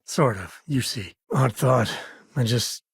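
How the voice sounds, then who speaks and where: Middle-aged space ranger, video game companion, very raspy, close dry sound, wry, folksy, good-hearted, conversational. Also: male voice, mid-range voice, slow pace, no room sound, heavily acted. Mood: wry, casual.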